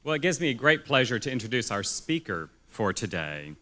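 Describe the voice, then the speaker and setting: A man's voice: mid-range voice, big pitch swings, a little fast, bright, forceful tone. A man serving as emcee, reading a formal, upbeat introduction of the guest speaker at a luncheon.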